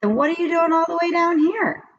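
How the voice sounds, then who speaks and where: A woman's voice: very high-pitched, a little fast, voice pushed up. A woman reading as an easygoing, matter-of-fact male art teacher in a children's story. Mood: casual. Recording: dull lo-fi recording with background noise.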